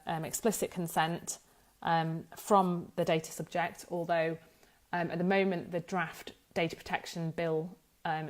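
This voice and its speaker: A woman giving an informal explanatory talk as a legal expert, thinking aloud and correcting herself as she goes. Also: on the low side. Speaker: data protection law expert presenting an explainer.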